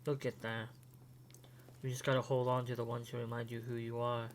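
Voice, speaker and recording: A man playing an earnest, guarded, quietly supportive young man in a fan audio drama, speaking in a measured way. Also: medium pitch, monotone, natural voice. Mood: reassuring. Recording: faint hum behind the voice.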